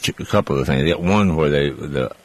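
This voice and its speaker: A man hosting a call-in radio show. His voice sits very low. He chats with callers in a relaxed, rambling way and often trails off or restarts his sentences. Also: brisk. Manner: easygoing, rambling. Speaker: radio host.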